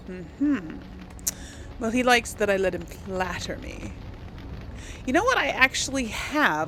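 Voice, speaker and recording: A woman's voice: mid-range voice, a little hiss or hum. A woman doing casual let's play commentary, musing aloud and hesitant as she decides things. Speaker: gaming video host.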